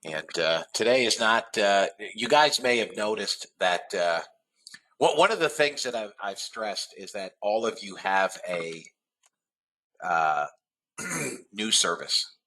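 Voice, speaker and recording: A man's voice: fairly low pitch, expressive, slow pace, bright, forceful tone, rough recording. A man hosting a live trading webinar, sounding nasal from a head cold and talking in a rambling way with lots of restarts. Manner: rambling, frequent uh fillers and restarts. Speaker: trading room host.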